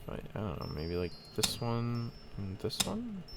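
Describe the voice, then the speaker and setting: A man's voice: mid-range voice, huge pitch swings, unhurried, very noisy. A man giving casual let's play commentary, thinking aloud hesitantly as he plays. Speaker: game streamer. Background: game music.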